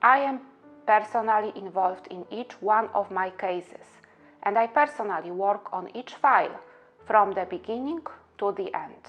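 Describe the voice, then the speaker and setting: Earnest Polish-accented woman attorney, measured scripted delivery, muffled audio with soft music bed. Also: medium pitch. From Poland. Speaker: immigration attorney. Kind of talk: scripted promotional monologue.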